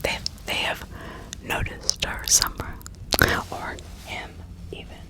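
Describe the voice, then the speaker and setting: A woman narrating true crime in a breathy ASMR whisper, deliberate and often repeating words and phrases. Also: sharp tone. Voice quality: breathy, mostly unvoiced whisper. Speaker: ASMR host.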